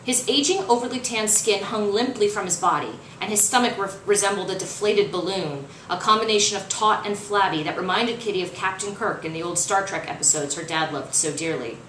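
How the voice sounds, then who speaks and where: A woman reading fiction aloud as its author, in expressive, dramatic narration. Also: medium pitch, brisk, few pauses. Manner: dramatic, acts out dialogue. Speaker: author reading their own novel. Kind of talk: expressive audiobook-style narration. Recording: roomy, distant mic, faint noise.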